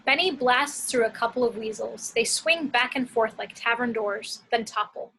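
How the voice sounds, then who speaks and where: A woman's voice: on the high side, moderate pace, fairly bright tone, slight background noise, poor audio. A woman narrating action descriptions from a script at an amateur table read.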